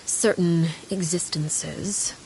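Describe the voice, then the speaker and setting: An actress voicing a composed female spy chief in a game, speaking in a measured, formal and coolly ironic way. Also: lowish voice, fairly even intonation, creaky, darkish tone, a little hiss or hum, heavily acted, poor audio. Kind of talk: game voice acting.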